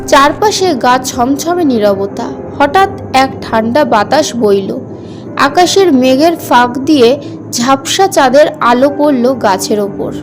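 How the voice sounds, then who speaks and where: Female narrator for horror fairy tales: high voice, slow scripted delivery, music underneath. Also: animated. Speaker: story narrator.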